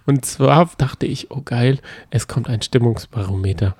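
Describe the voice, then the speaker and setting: A man's voice: mid-range voice, unhurried, a little mellow, husky, no echo. A man co-hosting a casual podcast with playful, teasing banter. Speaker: podcast co-host. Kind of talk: casual banter recapping reality TV.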